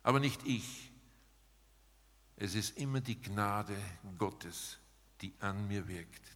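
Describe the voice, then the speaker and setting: A man preaching a sermon in an emphatic, rhetorical manner, setting out short phrases with pauses between them. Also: fairly low pitch, fairly bright tone. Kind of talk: sermon with dramatized paraphrase, closing in prayer. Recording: long hall reverb.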